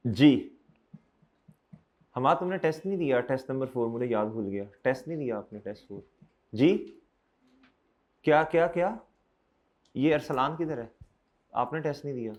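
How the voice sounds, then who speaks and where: A man, lecturing accounting students in a hall and loudly teasing and scolding them. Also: medium pitch, expressive, moderate pace, pauses often. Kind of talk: lively classroom lecture.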